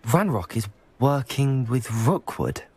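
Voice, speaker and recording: An actor playing a reserved, polite young student newcomer with a breathy, husky voice in a video game. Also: male voice, mid-range voice, sing-song, slow pace, a little mellow, exaggerated voice. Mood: guarded, polite. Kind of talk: video game cutscene acting.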